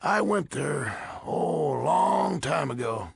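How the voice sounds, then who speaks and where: A crusty old commander of a military order for a video game. His voice is heavily gravelled and husky. He talks bluntly and folksily, with little patience. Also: on the high side, expressive, takes it slowly, full character voice. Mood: gruff, matter-of-fact. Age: older. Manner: blunt, folksy, swearing, easily exasperated. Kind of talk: video game character dialogue.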